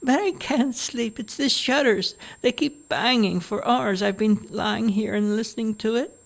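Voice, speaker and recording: This is a demanding old mother from a storybook, whining and imperious, as a man puts her on. Her voice sits far above a normal speaking pitch and drags along slowly. She complains about everything and expects to be obeyed. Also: creaky. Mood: complaining. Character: demanding elderly mother, fretful and dramatic. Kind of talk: storybook reading.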